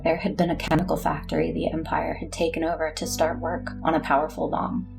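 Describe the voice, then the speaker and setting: A woman's voice: low-pitched, creaky. An actress playing a weary, bitter, brooding war leader who narrates her own story in a sci-fi audio drama.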